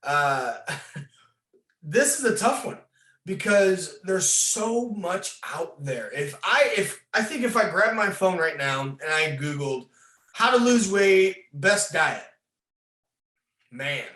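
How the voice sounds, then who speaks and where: A man's voice: high-pitched, big pitch swings, slow, sharp tone. A man hosting a casual conversational interview, talking in a rambling way with many false starts. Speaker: podcast host, fitness coach interviewer. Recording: roomy, echoing sound.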